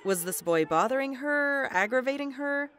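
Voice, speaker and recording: A woman's voice: high-pitched, lively intonation, moderate pace, a bit bright. An American woman playing a wary, practical middle-aged wife and mother in an audio drama. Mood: concerned.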